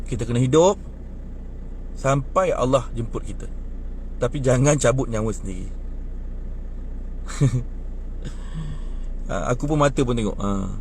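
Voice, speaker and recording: Young Malaysian man in his twenties, heated casual talk, mid-pitched voice, faint street traffic behind. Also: a little slow, pauses often. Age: 20s. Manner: animated and indignant, rising in pitch when worked up. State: short on sleep. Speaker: young man airing political views. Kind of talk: casual street chat, speaking to others nearby. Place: outdoors near a bus stop.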